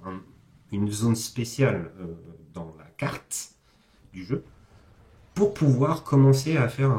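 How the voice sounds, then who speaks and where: A man's voice: low-pitched, a little mellow. A man giving a casual, explanatory speedrun commentary, hesitating and correcting himself with informal asides. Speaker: video game commentator, host. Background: background music.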